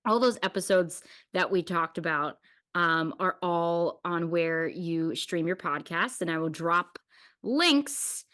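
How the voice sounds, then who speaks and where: A woman's voice: fairly low pitch, lively intonation, slow pace, fairly bright tone. A woman hosting a casual podcast interview, talking in an informal, emphatic and encouraging way. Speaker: podcast host.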